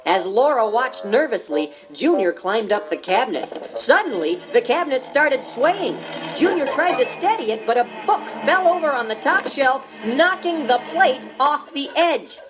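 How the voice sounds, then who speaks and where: A woman narrating a children's storybook in an expressive storytelling voice. Her pitch sits a little high and moves a lot from line to line. Music plays clearly behind her. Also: moderate pace, rough recording. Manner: expressive storytelling, voicing every character. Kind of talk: scripted read-along narration. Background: background music.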